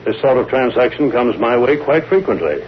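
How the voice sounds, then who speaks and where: An actor with an American accent playing a firm, formal, evasive broker in a 1930s radio drama. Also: male voice, medium pitch, sing-song, normal speed, a little hiss or hum, close to an everyday voice. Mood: firm, guarded. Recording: muffled, humming vintage radio recording.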